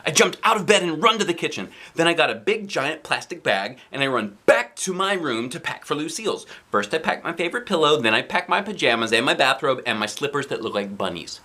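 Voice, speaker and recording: A man reading a children's book aloud as an expressive dad, with dramatic emphasis. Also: fairly high pitch, fairly quick, few pauses. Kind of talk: animated storybook narration.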